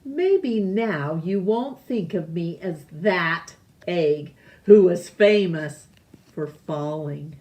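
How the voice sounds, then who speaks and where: Very slow-paced woman reading a storybook. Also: mid-range voice, dark tone. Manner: slow, deliberate, pausing between phrases. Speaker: storytime reader. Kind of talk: children's picture book read-aloud.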